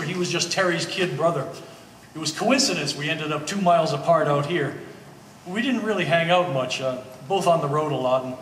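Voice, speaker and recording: A man playing an apologetic, friendly family acquaintance with a halting manner, in a staged play reading. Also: fairly high pitch, fairly bright tone, recorded from a distance, lightly acted. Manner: hesitant, halting. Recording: echoey hall, faint background noise.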